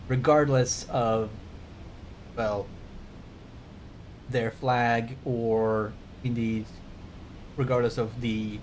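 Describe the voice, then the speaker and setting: A man's voice: darkish tone, poor audio. A man giving bookish, wry commentary as a game streamer, sometimes reading passages out. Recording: clear rumbling background noise.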